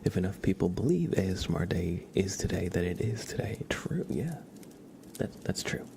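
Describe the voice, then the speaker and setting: A man hosting an ASMR livestream, chatting casually and unhurriedly in a soft, gentle murmur that drifts into whispers. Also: low voice, very animated, moderate pace. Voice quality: soft, breathy half-whisper. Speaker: livestream host reading chat.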